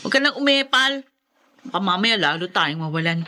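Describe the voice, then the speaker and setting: A woman playing a shrewd, sharp-tongued daughter in a radio drama, bossy and snappy. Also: mid-range voice, animated, brisk. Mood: irritated.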